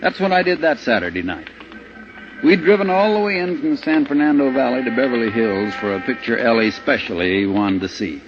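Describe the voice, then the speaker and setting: A man's voice: mid-range voice, expressive, brisk, music in the background, lightly acted. An actor playing an ordinary middle-aged American family man in a 1950s radio drama, with a folksy, plain-spoken delivery. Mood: wry, grim. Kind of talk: 1950s radio drama acting, first-person narration and dialogue. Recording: muffled, noisy 1950s radio recording.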